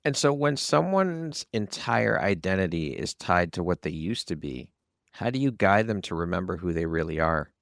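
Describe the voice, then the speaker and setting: Deep, gravelly man hosting a podcast. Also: lively intonation, normal speed, no echo, clean studio sound. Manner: affirming, encouraging. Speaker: podcast host. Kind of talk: conversational interviewing.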